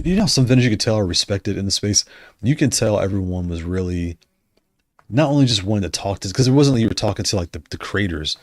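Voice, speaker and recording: A man chatting casually on a livestream, rambling and correcting himself as he goes, his voice going hoarse. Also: low-pitched, darkish tone, no echo. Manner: rambling, self-correcting, conversational. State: losing his voice. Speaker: streamer discussing controllers.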